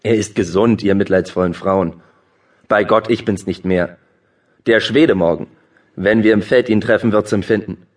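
An actor playing a brisk, mocking courtier and officer in a classical verse drama, speaking in elevated, declamatory stage diction. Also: male voice, on the low side, darkish tone, distant mic, natural voice. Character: brisk mocking male courtier and officer reporting to his lord. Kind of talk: classical German verse drama audiobook acting.

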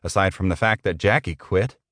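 A man's voice: low-pitched, fairly quick, a bit bright, dry recording. Male narrator as meek male employee, earnest, deferential, hesitant, dry recording, audiobook character. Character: meek, earnest, bewildered male office worker.

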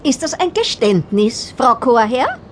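An excitable neighbour in a radio play. Her Viennese voice is high and swoops animatedly, gossipy and quick to take offence. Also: slight background noise. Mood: nosy. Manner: indignant, gossipy. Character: excitable, easily outraged female apartment-house neighbour.

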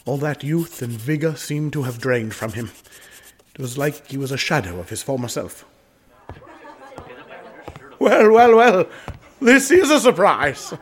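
A man acting a doomed, anguished gentleman in a radio drama, with husky voice and expressive old-fashioned upper-class phrasing. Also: on the high side, a little slow, long pauses, full character voice. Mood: grave, reminiscing, then hearty surprise. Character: doomed gentleman haunted by a family suicide curse, anguished.

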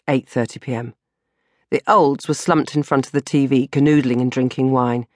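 A woman's voice: extremely low pitch, fairly even intonation, normal speed, dry recording, clean studio sound. A woman narrating a comic first-person diary as an audiobook, with a dramatic, exasperated delivery. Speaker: audiobook narrator reading her own novel.

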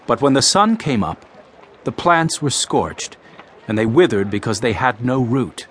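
An actor playing an impassioned male teacher preaching parables in a dramatized audio Bible, with declamatory, deliberate phrasing. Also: medium pitch, big pitch swings, a bit bright, slight background noise. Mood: proclaiming, storytelling.

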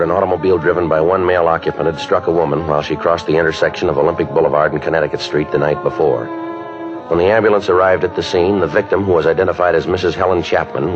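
Man playing a deadpan, very deep-voiced radio detective. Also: natural voice. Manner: deadpan, clipped, matter-of-fact. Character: stoic, businesslike male police detective. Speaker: lead actor and narrator. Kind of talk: 1950s radio police drama acting, clipped narration. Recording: dull 1950s radio recording with music.

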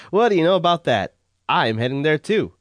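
A man's voice: fairly high pitch, big pitch swings, normal speed, no echo, clean studio sound. A man playing a friendly, chatty train traveller in an audio drama. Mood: cheerful. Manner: eager, chatty.

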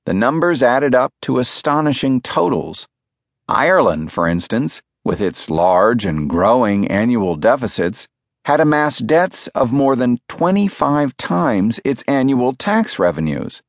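Guy reading a nonfiction audiobook, medium pitch, really animated delivery, measured and clear, close mic no room sound. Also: no room sound. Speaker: audiobook narrator. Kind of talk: scripted nonfiction audiobook narration. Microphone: close studio microphone.

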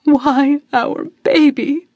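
A woman's voice: sits high, fairly even intonation, drawn-out words, a little mellow, no echo, pitched above the performer's own voice. A woman voicing a grieving middle-aged queen who speaks in a raised, anguished, pleading way. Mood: distraught.

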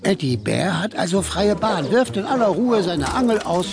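A man with a gravelly voice and a standard German accent, narrating a children's story in an animated way. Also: high voice, moderate pace, music in the background.

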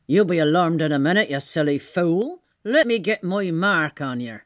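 A man's voice: on the high side, lively intonation, moderate pace, no room sound, studio quality, lightly acted. A man voicing a scruffy, bewildered tramp in an audiobook, muttering and exclaiming in slang. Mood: alarmed, indignant. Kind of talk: audiobook character voice.

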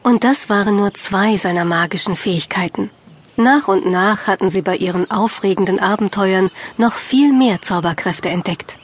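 A woman narrating a scripted children's audiobook in a measured, clearly phrased storytelling manner. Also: mid-range voice.

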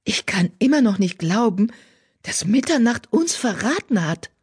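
Proud she-cat leader voiced by a narrator, raised pitch, indignant, defensive, urgent, storybook animal. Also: sing-song, measured pace, husky, full character voice. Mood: disbelieving.

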